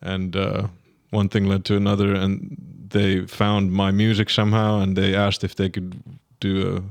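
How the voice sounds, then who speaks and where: A man's voice: low-pitched, monotone, normal speed, dry recording. A man from Finland, chatting casually as a podcast guest and telling long, rambling stories with restarts and laughs. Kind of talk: casual chat. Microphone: studio podcast microphone.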